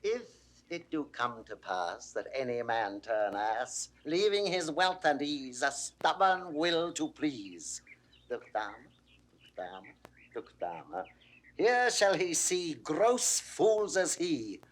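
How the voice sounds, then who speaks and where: An actor playing a melancholy, mocking philosophical lord in a 1960s classical Shakespeare audio drama, with a theatrical, wry drawl. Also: male voice, on the high side, very animated, slow, fairly bright tone, full character voice. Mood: gleeful mockery.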